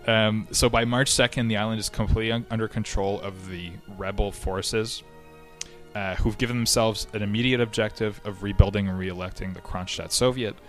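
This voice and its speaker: A very deep-voiced man talks through history in a relaxed, conversational way. He hesitates often, restarting phrases and filling gaps with ums and uhs. Faint music sits quietly behind his voice. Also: normal speed. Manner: conversational, many fillers and restarts. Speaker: history podcast host. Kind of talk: casual explanatory history talk.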